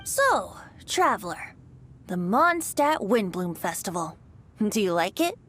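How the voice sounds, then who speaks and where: A woman's voice: mid-range voice, big pitch swings, slow, a bit bright, a little hiss or hum. A woman voicing a playful, wistful young boy bard in a video game, with a light, boyish, teasing delivery. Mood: friendly, knowing. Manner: teasing, lilting, theatrical. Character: playful, wistful youthful boy bard, secretly a wind spirit. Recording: music behind the voice. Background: game music.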